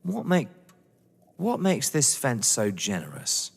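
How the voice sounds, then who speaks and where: A man's voice: medium pitch, wildly swooping pitch, slow pace, creaky, breathy edge, full character voice. An actor playing a sceptical, blunt young man, a wary lover, in an audio drama. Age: young adult. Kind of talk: theatrical audio drama acting. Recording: echoey hall-like reverb.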